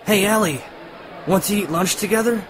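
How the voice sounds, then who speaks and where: A man playing a friendly, easygoing teenage boy in an amateur audio drama, casual and relaxed. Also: high voice, moderate pace, close to an everyday voice. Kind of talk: amateur radio drama acting. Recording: noisy, busy crowd ambience behind.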